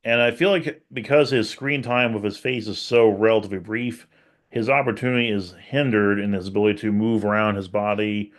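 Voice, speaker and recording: Low-voiced man evaluating on a call. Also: steady tone, brisk. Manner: thoughtful, self-deprecating, with restarts. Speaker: podcast co-host giving speech evaluation. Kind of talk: casual evaluative discussion. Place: virtual meeting. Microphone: video call.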